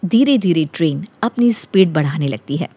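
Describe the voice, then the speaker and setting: A woman's voice: low-pitched, animated, moderate pace, mellow, husky, no echo. Woman storyteller with a dry, close sound. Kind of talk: scripted story narration with acted dialogue.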